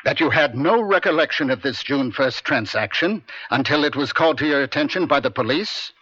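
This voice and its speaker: Husky, stern defense attorney cross-examining. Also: male voice, medium pitch, animated, bright, forceful tone, dry recording. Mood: pointed, pressing. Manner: deliberate, pointed, domineering. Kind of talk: radio drama acting, courtroom cross-examination. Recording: dry, dull vintage radio broadcast.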